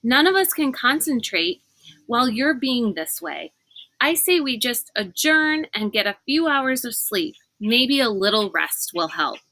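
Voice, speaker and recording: A woman voicing a thoughtful young boy leader in a children's storybook, reasoning with a strained calm. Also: fairly high pitch, unhurried, dry recording, voice pushed up, full character voice. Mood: frustrated. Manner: reasoning, placating. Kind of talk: children's storybook read-aloud.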